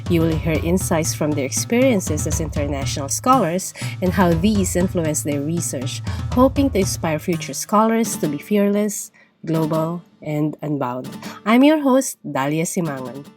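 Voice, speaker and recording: A woman in her thirties hosting a podcast, delivering a reflective scripted monologue in a measured, articulate way. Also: sits low, lively intonation, music in the background. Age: 30s. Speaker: podcast host.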